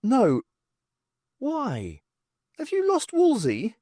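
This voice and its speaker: A man's voice: extremely high pitch, huge pitch swings, extremely slow, dark tone, no echo, clean studio sound, pitched above the performer's own voice, exaggerated voice. A man voicing a pompous, furtive and eccentric male art professor with florid speech in an audiobook. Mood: startled, sympathetic.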